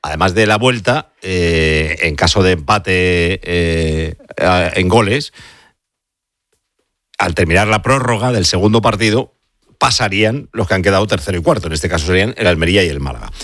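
A man's voice: deep voice, moderate pace, fairly bright tone, dry recording, studio quality. A man hosting a live radio sports show, talking conversationally and asking friendly questions.

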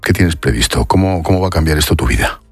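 Male news presenter, Spain accent, deep voice, narrating reports and putting questions to guests over music. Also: animated, quick pace, husky, dry recording. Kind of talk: broadcast news narration and interview.

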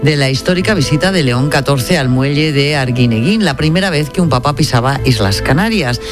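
A woman anchoring a radio news bulletin, reading in a measured, formal way. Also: very deep voice, lively intonation, over music.

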